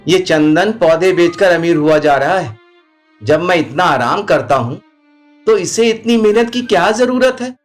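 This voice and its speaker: A man's voice: high voice, expressive, unhurried, soft background music. A man playing a lazy, jealous, scheming village neighbour in a storybook tale. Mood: scornful.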